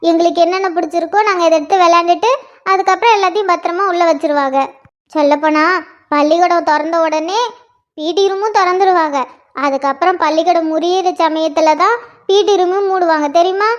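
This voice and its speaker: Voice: extremely high pitch, steady tone, a little fast. A performer voicing a chatty schoolgirl eagerly telling her sister about school, on a dull lo-fi recording. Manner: eager storytelling. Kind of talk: one-person comedy skit.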